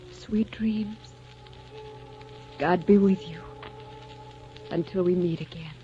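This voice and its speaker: An actress playing a tender, devoted young woman in a doomed romance, with soft, wistful delivery in 1940s radio drama style. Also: medium pitch, natural voice. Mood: hushed farewell. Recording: dull old radio recording, soft music behind.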